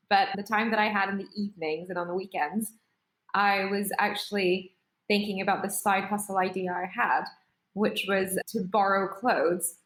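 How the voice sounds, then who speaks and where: A woman from Singapore, a startup founder, telling her story in a measured, articulate way in a podcast interview. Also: medium pitch, reverberant, poor audio.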